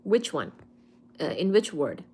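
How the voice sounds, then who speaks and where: Slow-talking Indian woman streaming live. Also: fairly low pitch, sing-song, dark tone, breathy edge. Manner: unhurried, hesitant, reads comments aloud with frequent fillers. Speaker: livestream host. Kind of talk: casual live chat answering viewer comments. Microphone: phone or webcam on a live stream.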